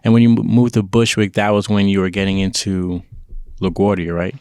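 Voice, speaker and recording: A man's voice: on the low side, little pitch movement, fairly quick, a little mellow, smooth, no echo, clean studio sound. Laid-back New man hosting a podcast. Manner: laid-back, loose, streetwise slang. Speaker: podcast host. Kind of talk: casual interview chat. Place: indoor room.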